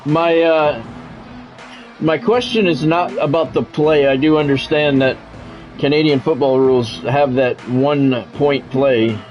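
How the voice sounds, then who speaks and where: An American man with a fairly high-pitched voice hosts a sports game stream. He moves between conversational chat and announcer-style calls. The audio is slightly dull, with music playing behind him. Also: steady tone, unhurried. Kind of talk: sports commentary mixed with casual chat to stream viewers.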